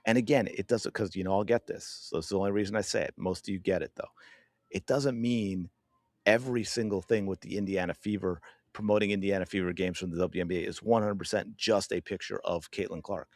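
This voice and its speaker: Male sports podcast host, slightly low voice, hoarse, emphatic, dry close mic. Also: a little fast, no room sound. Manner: emphatic, animated, addresses viewers directly. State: losing his voice. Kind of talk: opinionated sports commentary.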